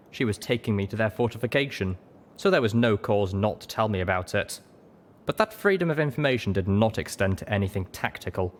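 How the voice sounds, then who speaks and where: Expressive audiobook narrator with lively pitch. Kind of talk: scripted first-person audiobook narration.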